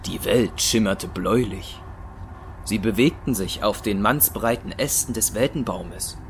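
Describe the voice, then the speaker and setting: Low-voiced man narrating an audio drama. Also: a little slow, creaky, a little hiss or hum.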